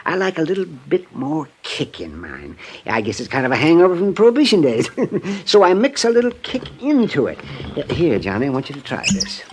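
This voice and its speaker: An actor playing a cheerful, chuckling, stubbornly indestructible old drunk man in a radio drama, rambling and folksy with a husky voice. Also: fairly high pitch, huge pitch swings, a little fast, a little hiss or hum. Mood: gleeful, coaxing. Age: older. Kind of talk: 1950s radio drama acting.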